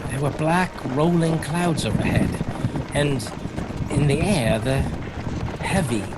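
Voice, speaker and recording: An actor playing an anxious young English lawyer's clerk recounting his journal in a hesitant, foreboding audio drama style. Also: a little high. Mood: tense dread. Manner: hesitant, foreboding, with halting repetitions. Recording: clear background noise, carriage ambience.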